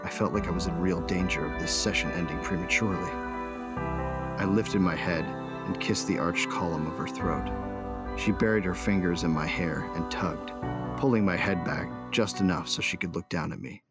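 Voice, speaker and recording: A man's voice: low voice, normal speed, lightly acted. A man playing a passionate, lovestruck space bounty hunter, narrating intimately and earnestly in an audio drama. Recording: loud music behind the voice. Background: music.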